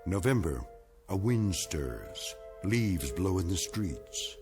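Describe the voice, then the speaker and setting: A male actor as a grave, ominous storyteller, narrating an eerie radio drama in a measured, portentous way. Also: sits low, big pitch swings, full character voice. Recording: radio drama narration over prominent music.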